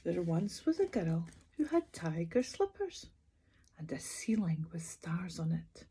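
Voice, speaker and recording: A woman storyteller reads a picture book to young children at bedtime. Her voice is mellow and husky, and she takes her time, talking to the listeners in an expressive, sing-song way. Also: mid-range voice.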